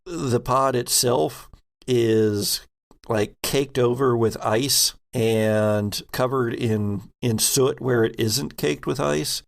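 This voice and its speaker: A man improvising narration as game master of a tabletop role-playing game, halting as he searches for words. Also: medium pitch, creaky.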